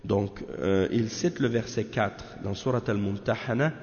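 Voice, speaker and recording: A man from Canada giving a measured religious lesson on a text, often tagging his sentences with questions. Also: lowish voice, darkish tone. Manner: measured, peppers talk with tag questions. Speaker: religious teacher. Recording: echoey hall, dull lo-fi sound.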